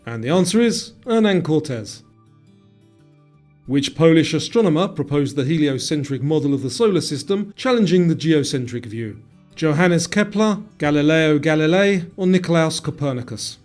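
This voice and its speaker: Man, slightly high voice, scripted quiz narration, question-and-answer reading, faint guitar backing. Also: lively intonation, moderate pace, a little mellow. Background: guitar music.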